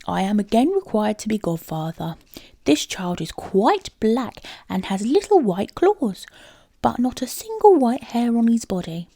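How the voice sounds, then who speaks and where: A woman's voice: fairly high pitch, sing-song, measured pace, dark tone, heavily acted. A woman voicing a sly, greedy male cat in a storybook, smug and dismissive, with emphatic delivery. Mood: smooth pretence. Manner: smooth-talking, dismissive, emphatic. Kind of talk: storybook character voice.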